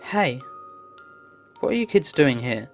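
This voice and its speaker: A woman's voice: extremely low pitch, sing-song, takes it slowly, full character voice. A woman voicing a hot-headed, brusque young story protagonist who scolds and orders others about. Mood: confrontational. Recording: music behind the voice.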